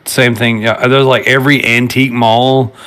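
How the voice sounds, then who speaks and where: A man's voice: mid-range voice, moderate pace. A man in his late thirties, a podcast guest, telling rambling stories in casual chat. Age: 30s. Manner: relaxed, rambling storyteller.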